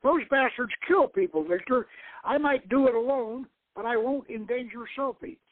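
A man playing a gruff, defiant professor and army major in a dramatic novel reading, blunt and snarling. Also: very high voice, moderate pace, no echo, phone-quality sound, voice pushed up. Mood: angry.

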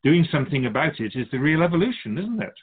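A man's voice: a little high, very animated, fast talker, breathy edge, phone-quality sound. A man playing an otherworldly keeper of souls in a radio drama, a wise, teasing mentor who muses theatrically in rhetorical questions. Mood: musing. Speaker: spirit guide in a radio drama.

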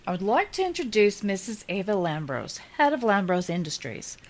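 A woman playing a young journalist who opens a press conference in an upbeat, brisk manner. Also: medium pitch, sing-song. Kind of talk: amateur audio drama acting.

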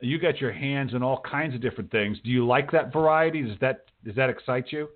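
A man's voice: medium pitch, fairly quick. A man hosting a talk show in a casual, informal, encouraging way, often repeating phrases for emphasis. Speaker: talk show host, writing teacher. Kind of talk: casual interview chat. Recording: thin phone-like radio call audio.

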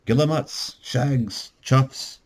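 A man's voice: medium pitch, very slow, voice pushed up. A man voicing a talkative, proud Irish tour guide in a storybook, with grand, expansive patter. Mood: genial.

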